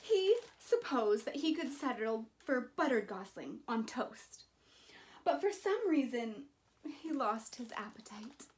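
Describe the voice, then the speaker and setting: A woman in her thirties reading a children's picture book aloud in an animated, deliberate way with dramatic emphasis. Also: a little high. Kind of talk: expressive children's story reading.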